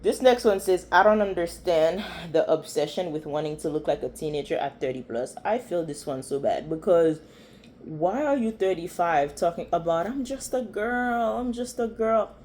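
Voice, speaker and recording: A woman's voice: lowish voice, expressive, normal speed, darkish tone, slight background noise. A woman with a Haitian accent giving blunt, chatty commentary as a vlogger reacting to online threads. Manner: blunt, opinionated, chatty with many fillers.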